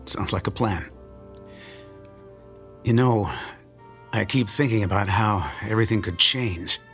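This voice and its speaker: A man's voice: fairly low pitch, slow pace, long pauses, a bit bright, faint music behind. An actor playing an anxious older male patient in a radio drama. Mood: anxious, wistful. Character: worried older male patient nearing retirement.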